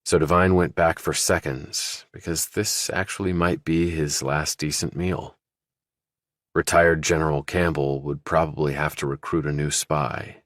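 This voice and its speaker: Very deep male voice, audiobook narrator, scripted thriller prose, dry close sound. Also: steady tone, unhurried, no echo.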